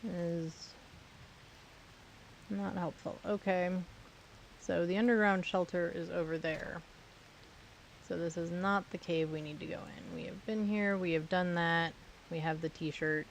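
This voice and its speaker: A woman streaming a game, slightly hoarse, talking to herself with dry asides as she plays. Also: fairly low pitch, fairly even intonation, a little slow, pauses often, slight background noise, poor audio. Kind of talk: casual gameplay commentary.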